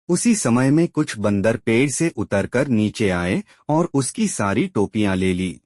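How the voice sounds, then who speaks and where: A man narrating short moral stories for children in a measured storytelling way. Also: lowish voice. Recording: background music.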